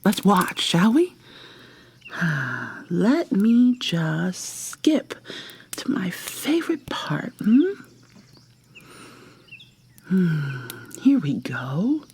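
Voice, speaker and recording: A woman acting an obsessive, controlling yandere lover in an ASMR roleplay, with a hushed, breathy, condescending drawl. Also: fairly low pitch, sing-song, very slow, pauses often, a little hiss or hum, full character voice. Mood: smug, controlling.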